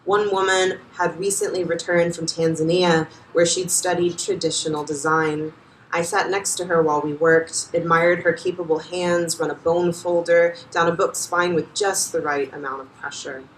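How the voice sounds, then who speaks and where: A woman reading a personal essay aloud to an audience, with a measured, low and even delivery. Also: slight background noise. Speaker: reader at a nonfiction reading. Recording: roomy, distant live venue sound.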